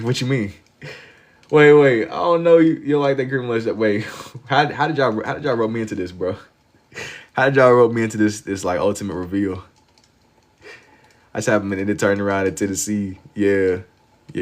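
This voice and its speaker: Deep-voiced American man chatting on a livestream. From Louisville, Kentucky, United States. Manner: slangy, amused, repeats phrases for emphasis. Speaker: livestream host. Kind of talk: casual chat with live viewers while drawing.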